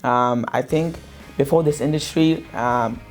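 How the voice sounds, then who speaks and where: A young man from Pakistan, a sales business owner, giving a relaxed interview testimonial with many fillers and restarts. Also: mid-range voice, measured pace. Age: young adult. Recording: faint guitar music underneath.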